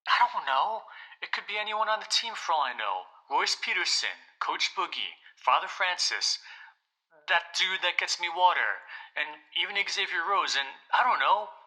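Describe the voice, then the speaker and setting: Man playing an animated, bright-voiced teenage athlete. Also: high voice, normal speed, exaggerated voice, poor audio. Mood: suspicious, insistent. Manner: insistent, casual slangy speech. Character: teenage boy basketball prodigy, wary and insistent. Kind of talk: audio drama acting.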